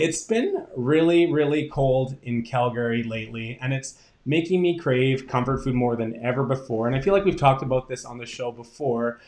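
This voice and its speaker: Man, co-host, upbeat chat, mid pitch, roomy home video call audio. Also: darkish tone. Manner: upbeat and chatty, laughs often. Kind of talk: casual chat.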